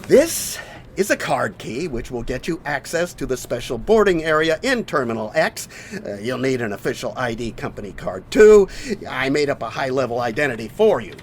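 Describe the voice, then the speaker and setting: A man's voice: mid-range voice, big pitch swings, moderate pace, slight background noise, close to an everyday voice. A man playing an anxious, secretive whistleblower in a radio drama, urgent, emphatic and conspiratorial. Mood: hushed, urgent.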